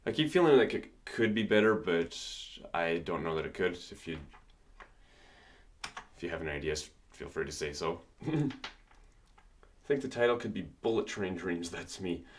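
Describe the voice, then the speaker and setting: Very deep-voiced man thinking aloud. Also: expressive, unhurried, long pauses. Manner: thinking aloud while working, easygoing. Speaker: music producer streaming his work. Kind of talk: casual livestream commentary.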